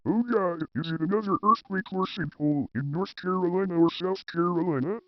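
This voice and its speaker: A man plays a blunt warning chief with a voice a bit higher than most men's. His delivery is terse, in the manner of a hobby audio drama. The recording is very dry and slightly dull. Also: fairly quick, no echo, close to an everyday voice. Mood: wary. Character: blunt male warning chief, incredulous, foul-mouthed, decisive. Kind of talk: scripted amateur audio drama dialogue.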